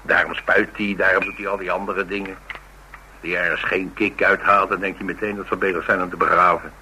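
A man's voice: low voice, flat delivery, brisk, sharp tone, a little hiss or hum, low-quality recording. An actor playing a brooding, philosophical man in a radio drama, musing and dryly understated. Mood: calm, grave. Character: brooding, philosophical man named Paul, haunted by dreams. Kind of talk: Dutch radio drama acting, naturalistic dialogue.

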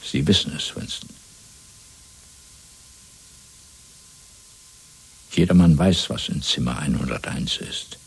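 An actor in a 1970s German radio play, playing a cold, domineering party interrogator with a measured, condescending manner. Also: male voice, rumbling low, vocal fry, breathy edge, exaggerated voice, low-quality recording. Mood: menacing. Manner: measured, condescending, evasive, authoritative.

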